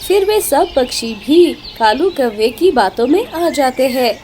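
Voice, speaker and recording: High-pitched, lively woman storyteller. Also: measured pace. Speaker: narrator. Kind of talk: scripted children's story narration. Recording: clear background noise with animal, bird sounds.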